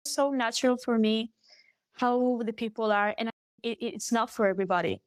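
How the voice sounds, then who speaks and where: A young woman from Galicia with a Spanish accent, a native Galician speaker, chatting as an interview guest, animated and laughing often. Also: a little high, moderate pace, dry recording, studio quality. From Galicia, Spain. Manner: animated, laughs often, restarts her sentences. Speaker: guest singer. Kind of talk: casual interview chat.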